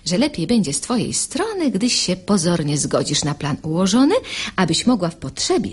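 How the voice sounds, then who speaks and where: An actress playing a bold, bossy maidservant in a classical radio comedy, scolding and teasing in verse with a husky voice. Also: female voice, lowish voice, sing-song. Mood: amused, scheming. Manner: brisk teasing, scolding, theatrical verse delivery. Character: bold, bossy young-hearted maidservant scolding and reconciling quarrelling lovers.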